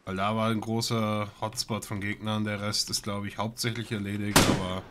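Low-voiced male player, laid-back and joking, headset, noisy battle sounds behind. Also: level tone, moderate pace. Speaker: player in a military simulation game. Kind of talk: casual squad chatter during gameplay. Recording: gunfire and game noise behind.

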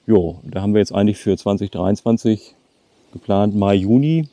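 A man's voice: on the low side, moderate pace, mellow, dry recording. A man in his late fifties chatting casually to the camera outdoors as a travel vlogger. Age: late 50s. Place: outdoors by a lake.

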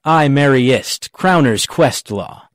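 A man's voice: mid-range voice, expressive, slow pace, no room sound. A man playing a cocky rustic gravedigger in a Shakespeare audiobook, arguing in a pedantic, jokey way. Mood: pedantic, argumentative. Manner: pedantic, jokey mock-logical argument. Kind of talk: dramatic audiobook reading of Shakespeare.

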